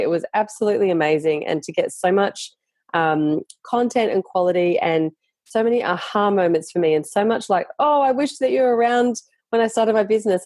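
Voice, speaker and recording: A woman's voice: fairly low pitch, darkish tone, dry recording. A woman hosting a podcast, chatting enthusiastically with lots of ums and restarts. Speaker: podcast host. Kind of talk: casual interview chat.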